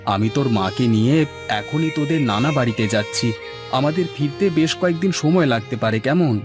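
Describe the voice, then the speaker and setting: A man acts a village farmer and family father in a moral-story cartoon. His mid-pitched voice is homely, insistent and caring. Music is clearly heard behind him. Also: lively intonation, brisk, close to an everyday voice. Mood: concerned.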